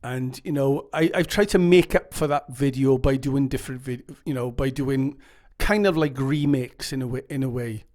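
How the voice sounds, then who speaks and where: A man's voice: medium pitch, normal speed, a little mellow. A man with a Welsh accent giving earnest, rambling advice straight to his viewers. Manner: rambling, earnest, reads quotes dramatically. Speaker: YouTube channel host. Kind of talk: casual advice monologue to camera.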